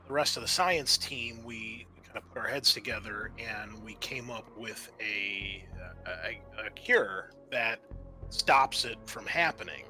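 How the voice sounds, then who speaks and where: A man's voice: mid-range voice, pushed and bright, low-quality recording. A man voicing an evasive male spaceship scientist in a tabletop game, talking in a hesitant, placating way. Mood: explaining, defensive.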